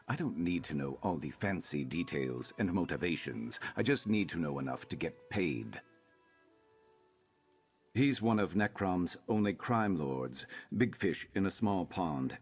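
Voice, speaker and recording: A man voicing a gruff, guarded reptilian mercenary in a fantasy video game, speaking in a terse, impatient way. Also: extremely low pitch, full character voice. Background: background music.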